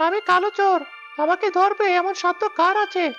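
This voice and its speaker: A woman voicing a boastful, lazy male village thief in an animated story, dismissive and swaggering, with music behind. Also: extremely high pitch, brisk, lo-fi sound, voice pushed up. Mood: irritated, boastful.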